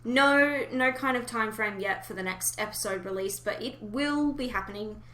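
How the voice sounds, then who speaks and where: A woman's voice: fairly high pitch, moderate pace, sharp tone. A woman hosting a podcast in a casual, chatty style. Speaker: podcast host. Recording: roomy, echoey home recording.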